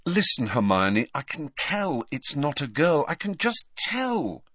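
A man's voice: fairly high pitch, sing-song, measured pace, fairly bright tone, slightly rough, no echo, clean studio sound, voice pushed up, heavily acted. A man voicing a stubborn, exasperated teenage boy in an audiobook, protesting irritably. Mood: defensive.

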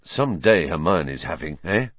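A man doing a muttering, deadpan schoolboy. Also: low voice, unhurried, no room sound, heavily acted. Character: joking, low-key teenage schoolboy. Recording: dry, slightly dull lo-fi.

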